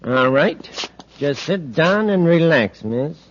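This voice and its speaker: High-voiced, boastful radio-serial crook. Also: male voice, lively intonation, slow pace. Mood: irritated, boastful. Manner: theatrical, boastful. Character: Woody Nord, self-righteous male master thief and gadget inventor. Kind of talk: old-time radio serial acting. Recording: muffled, hissy vintage radio recording.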